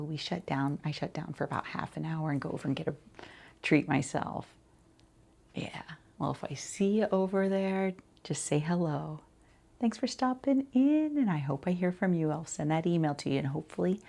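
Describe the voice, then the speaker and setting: Soft, husky, creaky-voiced woman doing ASMR. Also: low voice. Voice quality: soft, breathy, often dropping to a near whisper. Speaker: ASMR roleplayer as boutique shopkeeper and channel host. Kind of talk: quiet-talking ASMR roleplay, personal-attention chat.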